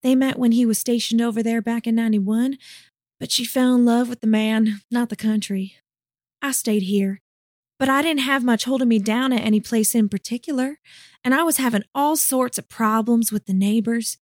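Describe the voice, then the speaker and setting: A man's voice: very high voice, steady tone, normal speed, darkish tone, no echo, studio quality, voice pushed up. A male narrator voicing a small young woman with a Southern drawl who talks bluntly and swears freely. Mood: casual. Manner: blunt, salty, boisterous.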